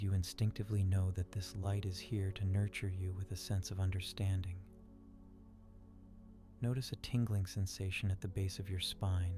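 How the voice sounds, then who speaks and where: A man narrating a guided meditation in a calm, soothing, unhurried manner. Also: sits low. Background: background music.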